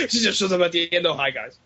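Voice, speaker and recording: Bright-voiced young man, dull lo-fi call. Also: a little high, lively intonation, brisk. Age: young adult. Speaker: podcast co-host. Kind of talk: casual fan chat.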